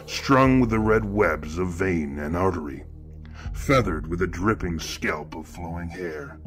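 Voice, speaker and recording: Deep-voiced, grandiose, sinister killer. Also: male voice, a bit bright. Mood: sinister relish. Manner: florid, pompous, deliberate, menacing. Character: grandiose, sinister male killer who sees murder as art. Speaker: narrator of a horror fiction podcast. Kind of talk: scripted first-person horror narration, theatrical. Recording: narration over prominent music bed. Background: music.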